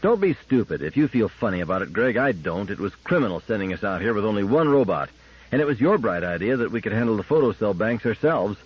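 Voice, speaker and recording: An agitated male engineer in a science fiction story, played by a man reading aloud. He is bitter and exasperated, leaning hard on his words with wide swings of pitch. The recording is slightly dull. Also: sing-song, fast talker, slightly rough. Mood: indignant, demanding. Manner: exasperated, emphatic.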